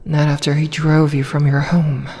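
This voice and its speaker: Woman acting a snake-woman lamia, low-pitched, protective, coaxing, fantasy ASMR, long echoing reverb. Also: level tone, dark tone, slight background noise. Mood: tender, soothing. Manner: slow, coaxing, soothing. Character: tender, protective snake woman lamia, playfully menacing to prey. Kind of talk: ASMR roleplay, scripted fantasy audio drama. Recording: long reverberant hall-like echo.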